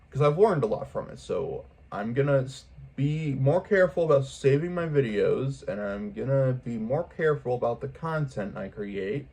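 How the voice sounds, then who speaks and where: A man's voice: medium pitch, darkish tone. A man speaking casually and unscripted to his viewers in a vlog, rambling earnestly and repeating himself for emphasis. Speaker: video creator speaking to viewers. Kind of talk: casual unscripted vlog monologue, pep talk.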